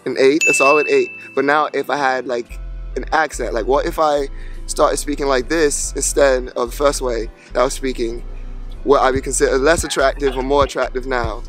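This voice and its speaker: A man's voice: medium pitch, steady tone, normal speed, a bit bright, faint music behind. A young American man in his twenties, casually chatting and joking with strangers on a video call app. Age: 20s. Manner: playful, teasing, laughs often.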